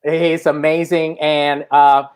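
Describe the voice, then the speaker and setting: A man hosting a live-streamed talk show, excitable and gushing, with lots of fillers. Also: a little high, takes it slowly, smooth.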